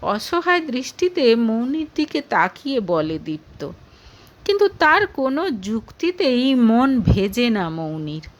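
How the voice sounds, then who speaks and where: A woman narrating a scripted romantic audio story in an expressive style. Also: fairly high pitch, a little slow.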